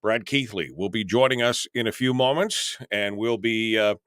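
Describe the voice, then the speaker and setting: A man's voice: lowish voice, moderate pace, a bit bright, smooth, no echo. Man, radio host, casual and rambling, lots of fillers, jokey, dry close sound. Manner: rambling, filler-heavy, jokey and self-deprecating. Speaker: radio talk show host. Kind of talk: casual live talk radio. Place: radio studio.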